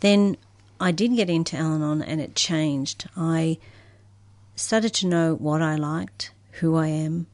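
Low-voiced woman, close dry sound. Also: fairly even intonation, takes it slowly, a little mellow, no echo. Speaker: guest sharing personal story. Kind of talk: personal recollection.

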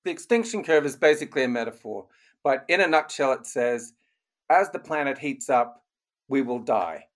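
An older man raised in Canada, an author and criminologist, giving measured, explanatory interview answers. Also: medium pitch, animated.

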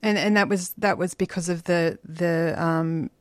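A woman interviewing a guest, asking gentle questions and backing them with short listening sounds. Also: on the low side, fairly even intonation, unhurried, no room sound.

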